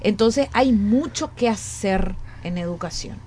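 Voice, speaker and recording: A woman's voice: mid-range voice, expressive, measured pace, a little mellow, slight background noise. A woman in her late forties with a Paraguayan Spanish accent, a guest in an interview who speaks earnestly, repeating and restarting phrases as she thinks aloud. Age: 40s. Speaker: education academic, guest. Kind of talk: conversational interview.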